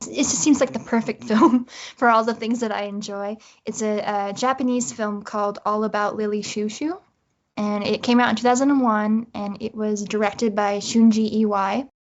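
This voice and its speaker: A woman talking casually as a guest in an interview about writing. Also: medium pitch. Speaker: interview guest, audio drama writer. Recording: slightly dull, lo-fi audio.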